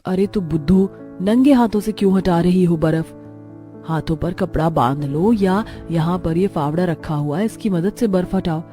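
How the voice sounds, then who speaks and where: A woman's voice: fairly low pitch, normal speed, mellow, faint music behind, natural voice. A woman voicing a hardworking elder daughter with a matter-of-fact manner in a cartoon story. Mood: scolding. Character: practical, hardworking elder village daughter, young and bossy.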